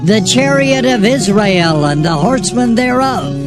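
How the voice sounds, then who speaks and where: A man's voice: high-pitched, lively intonation, a little slow, over music, pitched above the performer's own voice. A man voicing a devoted young prophet, earnest and pleading, drawing out his words.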